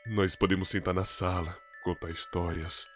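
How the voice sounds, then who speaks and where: An actor playing a weary, gentle old monster king full of regret, speaking solemnly in a dramatic game dub. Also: low voice, level tone. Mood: tender, grateful. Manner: solemn, measured, dramatic delivery. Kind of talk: dramatic dubbed game monologue. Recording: music behind the voice.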